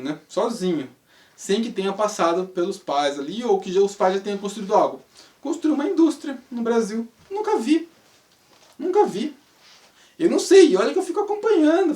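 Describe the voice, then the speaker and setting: A man's voice: very high-pitched, a little mellow. Brazilian man talking in a roomy space. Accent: Brazilian Portuguese. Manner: rambling, rhetorical questions, frequent tag questions. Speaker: channel host, English teacher. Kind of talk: casual spoken monologue. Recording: roomy sound, mic at a distance.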